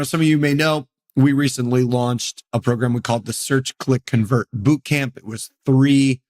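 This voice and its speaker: A man in his thirties hosting a solo podcast, hoarse and losing his voice, talking in a candid, rambling way. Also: mid-range voice. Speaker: podcast host.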